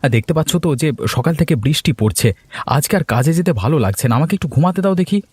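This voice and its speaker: A man's voice: a little high, lively intonation, rapid-fire, darkish tone, breathy edge, no room sound. A man voicing a lazy young husband in a cartoon who grumbles and complains. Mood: grumbling. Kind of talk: cartoon voice acting.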